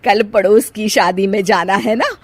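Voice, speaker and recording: A woman's voice: mid-range voice, fairly even intonation, moderate pace, dry recording, natural voice. A woman voicing a domineering middle-aged mother-in-law in a family story, with a dismissive manner. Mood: coaxing, dismissive. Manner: scolding, dismissive.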